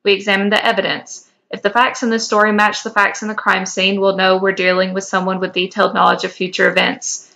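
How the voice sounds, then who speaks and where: A woman reading the part of an earnest, assured male federal investigator in fan fiction, matter-of-fact and brisk, on a slightly dull lo-fi mic. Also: mid-range voice, little pitch movement, vocal fry, bright, forceful tone.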